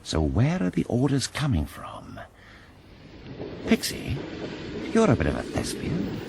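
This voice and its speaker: An actor playing a cheerful, hard-hitting British detective adventurer with flippant banter in a vintage-style radio drama. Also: male voice, mid-range voice, wildly swooping pitch, unhurried, vocal fry, background noise, full character voice. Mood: brisk, confident. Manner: flippant, cocky, tongue-in-cheek banter.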